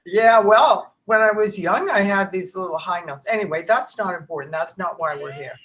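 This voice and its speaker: An older woman with a low voice, a contralto and voice teacher, talking through an interview in a reassuring, teacherly way. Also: moderate pace, fairly bright tone, slight background noise. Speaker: interview guest, contralto and voice mentor. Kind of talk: conversational interview.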